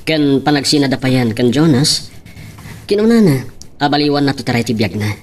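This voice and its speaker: A man's voice: medium pitch, animated, normal speed, a little hiss or hum, lightly acted. A man playing a humble, shy young working man in love in a radio drama, with an Ilocano Filipino accent. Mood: shy, confiding.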